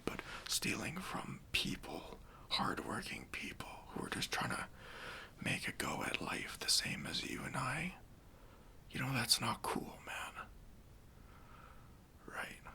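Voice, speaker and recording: A man playing a kindly, reassuring bank robber in a soft, breathy ASMR roleplay, speaking gently and casually to an accomplice. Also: medium pitch, exaggerated voice. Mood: earnest, conspiratorial. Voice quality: breathy, near-whispered.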